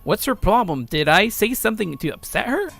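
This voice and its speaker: A man acts out a frightened, bewildered schoolboy from a visual novel game. He pleads melodramatically in a high, lively voice, with music in the background. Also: brisk, creaky, exaggerated voice. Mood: confused, apologetic.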